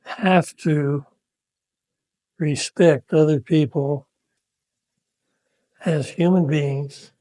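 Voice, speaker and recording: A man on a devotional panel giving slow, halting, thoughtful answers with many pauses. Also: fairly high pitch, steady tone, mellow, no room sound. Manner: hesitant, measured, many pauses and fillers.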